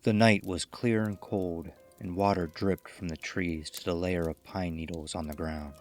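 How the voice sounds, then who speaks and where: A man's voice: deep voice, steady tone, moderate pace. A man reading a scripted horror story as a suspenseful, dramatic audio drama performance. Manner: suspenseful, dramatic delivery with acted dialogue.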